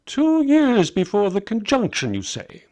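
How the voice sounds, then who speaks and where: A man voicing an aging, half-blind wise male scholar with a musing, gently ironic manner in a story reading. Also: high voice, huge pitch swings, measured pace, voice pushed up, heavily acted. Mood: intent, pleased. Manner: musing, questioning.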